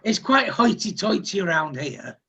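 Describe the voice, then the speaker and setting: A man from England chatting wryly on a casual panel and pitching his own project. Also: big pitch swings, moderate pace, bright, forceful tone, a little hiss or hum. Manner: wry, teasing, self-promoting. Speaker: panel host, comic artist.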